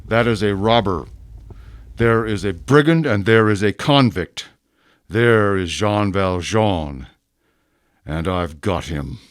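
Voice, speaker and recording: Man as stern, very slow-spoken police inspector. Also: fairly low pitch, no room sound, clean studio sound. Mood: scornful, triumphant. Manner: commanding, deliberate. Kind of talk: radio drama acting.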